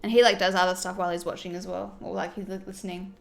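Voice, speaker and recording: A woman's voice: lowish voice, flat delivery, racing, vocal fry. Chatty woman streamer doing her makeup. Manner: chatty, reads viewer comments aloud and reacts warmly. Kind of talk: casual livestream chat while doing makeup.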